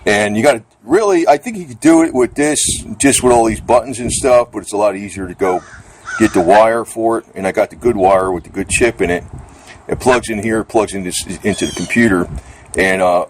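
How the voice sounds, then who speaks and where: A man's voice: low voice, slight background noise. A middle-aged or older man giving a casual, off-the-cuff gear demonstration in a rambling, plainspoken, colloquial and mildly grumbling way. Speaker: vlogger demonstrating radio gear.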